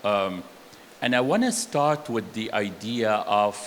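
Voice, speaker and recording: Low-voiced Egyptian-born professor on a panel. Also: male voice, animated, a little slow, a bit bright. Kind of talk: panel discussion answer. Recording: echoey hall, faint background noise.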